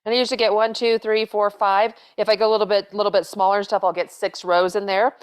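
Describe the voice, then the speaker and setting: A woman's voice: mid-range voice, little pitch movement, fast talker, clean tone. A middle-aged woman hosting a cooking demonstration in a home kitchen, talking casually and rambling with fillers and restarts on a lapel mic. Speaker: cooking show host, chef.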